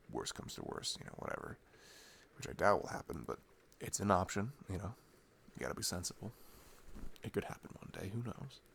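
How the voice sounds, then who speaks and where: A man's voice: low-pitched, gravelly, slight background noise. A young man playing a sweet, playful boyfriend in an ASMR roleplay, chatting tenderly and casually in a rambling, teasing way. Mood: tender, sincere. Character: sweet, playful, affectionate boyfriend cuddling his partner. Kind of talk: ASMR boyfriend roleplay, improvised intimate chat.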